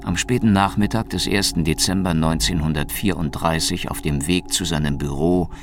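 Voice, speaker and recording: An actor playing a cynical, world-weary Soviet secret police detective in a noir radio drama, deadpan and sardonic, with a gravelly voice. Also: male voice, rumbling low, exaggerated voice. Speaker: narrator and lead detective. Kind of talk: noir radio drama, first-person narration. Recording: music behind the voice.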